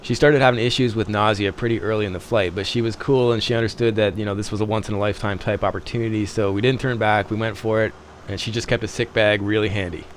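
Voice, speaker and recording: A man's voice: on the low side, steady tone, fast, a little hiss or hum. A man narrating a vlog as a pilot, chatty, informal and self-deprecating. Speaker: private pilot and video host.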